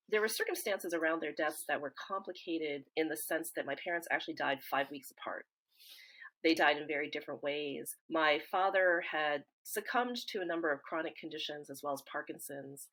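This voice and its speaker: Low-voiced woman speaking thoughtfully. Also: fairly bright tone, rough recording. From East Coast of the United States. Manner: thoughtful, measured, with frequent fillers and restarts. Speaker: interview guest, grief support founder. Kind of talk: reflective interview talk.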